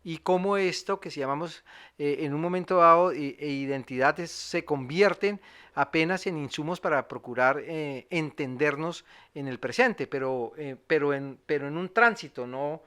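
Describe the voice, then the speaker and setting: Male theatre commentator, fairly high and very bright voice, discursive and reflective, seeks agreement. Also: normal speed, smooth. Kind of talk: reflective spoken analysis.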